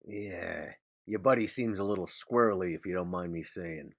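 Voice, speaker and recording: A man's voice: sits low, normal speed, creaky, dry recording, lo-fi sound. A man voicing a blunt, wry male vampire with an offhand way of talking.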